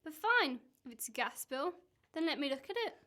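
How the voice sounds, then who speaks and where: A woman's voice: high-pitched, animated, brisk, sharp tone. A woman in an amateur radio play acting a suspicious, exasperated wife, accusing and sarcastic. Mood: sceptical, accusing.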